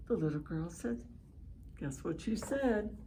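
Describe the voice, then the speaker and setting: A woman hosting a children's storybook read-aloud, speaking in an encouraging, expressive way and pausing to ask young listeners questions. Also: fairly low pitch, slight background noise. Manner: encouraging, expressive, lingers on phrases and prompts listeners. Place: at home, online session.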